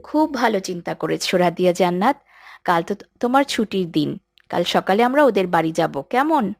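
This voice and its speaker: Woman acting a kind, lower-voiced cartoon mother. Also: lively intonation, moderate pace, gravelly, dry recording, clean studio sound. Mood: approving. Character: kind, approving mother of a little girl. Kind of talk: children's moral cartoon voice acting.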